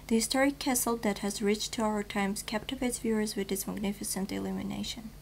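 A woman's voice: mid-range voice, steady tone, a little fast, vocal fry, a little hiss or hum. Young woman reading the news formally. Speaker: news anchor. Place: TV news studio, virtual set.

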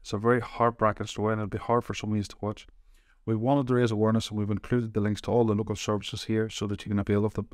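Fast, flat host intro. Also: male voice, on the low side, dry recording, clean studio sound. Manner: flat, quick, earnest. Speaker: podcast host. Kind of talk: recorded video intro. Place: small room with branded backdrop.

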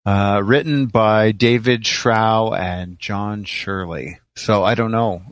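A man's voice: fairly low pitch, steady tone, takes it slowly, no room sound. A man talking casually as a co-host in a podcast discussion.

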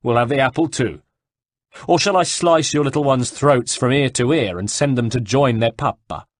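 A man's voice: mid-range voice, big pitch swings, moderate pace, husky, no echo, clean studio sound. A man voicing a cool, ironic Italian nobleman villain who bargains with menace in an audiobook. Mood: cold menace. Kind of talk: audiobook character dialogue.

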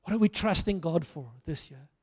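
A man's voice: fairly high pitch, lively intonation, normal speed, darkish tone, slightly rough. A middle-aged man from South Africa, preaching on a church stage into a handheld mic, earnest and rousing with emphatic repetition. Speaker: pastor. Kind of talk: sermon. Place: church stage in a large hall. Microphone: handheld microphone. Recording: long hall reverb.

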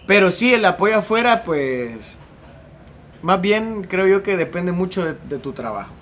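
A young man in his twenties with a Salvadoran accent, a singer telling his story at length in a relaxed interview on an outdoor patio. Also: high-pitched, a little slow, a little hiss or hum. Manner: earnest, talks at length with ease. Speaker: singer and guest. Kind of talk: casual interview.

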